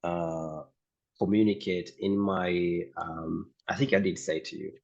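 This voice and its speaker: A man whose first language is Swahili, interviewing a guest with halting, searching questions. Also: low-pitched, fairly even intonation, gravelly, darkish tone. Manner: halting, searching for words, many restarts. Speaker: interviewer, host. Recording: slightly dull lo-fi sound.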